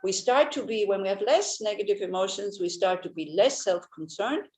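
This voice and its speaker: Swiss german lady teaching dharma, gentle, searches for words, medium pitch. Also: lively intonation, normal speed. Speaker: Buddhist teacher. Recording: echoey room.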